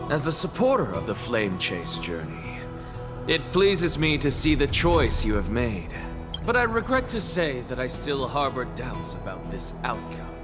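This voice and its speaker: A man playing a proud, self-assured and defiant scholar in a video game, with grandiose phrasing and dramatic pauses. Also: medium pitch, big pitch swings, over music. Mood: coolly theatrical.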